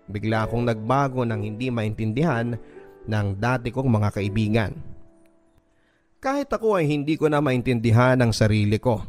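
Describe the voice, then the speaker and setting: A man's voice: mid-range voice, darkish tone. A Filipino man hosting a radio drama, reading a listener's first-person story as dramatic, earnest, sentimental narration. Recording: music bed under the voice. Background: music.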